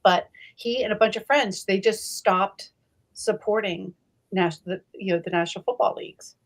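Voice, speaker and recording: A woman's voice: medium pitch, moderate pace. A woman hosting a casual book club chat, effusive and fond of telling anecdotes. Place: live online video chat.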